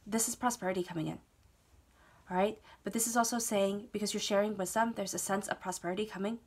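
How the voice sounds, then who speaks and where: Stuffed-up woman giving a steady tarot reading. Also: medium pitch, fast talker, husky. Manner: steady, reassuring, repeats phrases and checks in with "okay?". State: stuffed up from a cold. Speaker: tarot reader. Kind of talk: solo tarot reading to camera.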